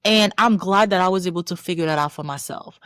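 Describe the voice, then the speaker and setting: Guest: woman from Haiti, lower pitch, easy storytelling, dry sound. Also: fast, a bit bright, clear, resonant tone, dry recording. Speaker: physician guest. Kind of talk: casual interview chat.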